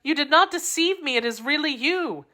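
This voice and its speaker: Audiobook: woman reading a dying young heroine, high, bright, breathless. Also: lively intonation, normal speed. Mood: overjoyed, urgent.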